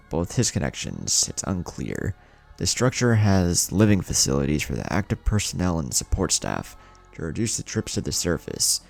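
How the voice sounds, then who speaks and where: A man voicing a composed young female ninja who explains things calmly in an anime fan story. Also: low voice, creaky, exaggerated voice. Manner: calm, explanatory. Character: composed young kunoichi woman explaining secret matters.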